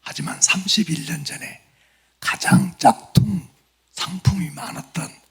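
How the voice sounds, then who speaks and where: A male missionary preacher who has lost his voice to a throat cold, giving an earnest sermon in a hoarse whisper and clearing his throat now and then. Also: fairly high pitch, unhurried. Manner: apologetic, earnest, plain storytelling.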